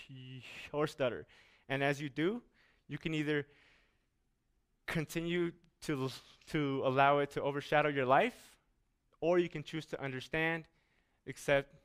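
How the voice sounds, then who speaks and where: A young man giving an earnest, deliberate talk on stage to a live audience. He stutters often, repeating sounds and words. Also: medium pitch, fairly bright tone, clean tone.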